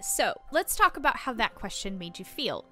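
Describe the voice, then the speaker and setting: A woman playing a patient small-town reporter who coaches a newcomer, speaking deliberately and encouragingly in an improvised story podcast. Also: a little high, big pitch swings, bright, forceful tone, soft background music. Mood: instructive.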